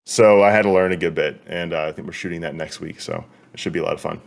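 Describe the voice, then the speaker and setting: A man's voice: sits low, fairly even intonation, fast, vocal fry. A young man talking casually as a guest, easygoing and chatty. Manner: easygoing, chatty with light laughs. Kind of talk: casual chat.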